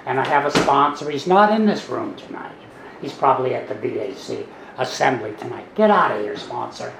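An older man with a gravelly voice, speaking softly and wandering through his thoughts as the guest speaker at a meeting. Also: mid-range voice, lively intonation, fairly quick. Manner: soft-spoken, self-deprecating, meandering with restarts. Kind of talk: personal talk to a meeting. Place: meeting hall. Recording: echoey hall, some background noise.

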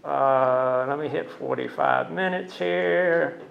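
Loud, gravelly man telling his story. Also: on the high side, slow pace, a little hiss or hum. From Norfolk, USA. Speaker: guest speaker at a recovery meeting. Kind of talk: personal testimony to a group. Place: meeting room. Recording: echoey, dull meeting-room recording.

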